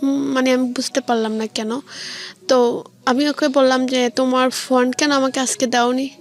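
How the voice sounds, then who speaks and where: High-pitched woman telling her story. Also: little pitch movement, moderate pace. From Sylhet region, Bangladesh. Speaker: guest telling own life story. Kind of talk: personal storytelling in an interview.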